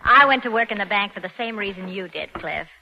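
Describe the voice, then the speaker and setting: A cool American woman crook in a 1940s radio noir. She is sly and in command, with a very lively pitch. The old recording is dull with faint noise. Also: a little fast, creaky, exaggerated voice. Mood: cool, mocking. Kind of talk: 1940s radio crime drama acting.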